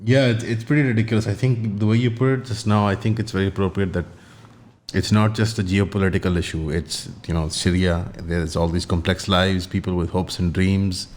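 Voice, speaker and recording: A man's voice: deep voice, fairly even intonation, a little fast, darkish tone. A man hosting an interview in a casual, conversational way, with informal, hesitant phrasing. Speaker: podcast interview host. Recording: faint background noise.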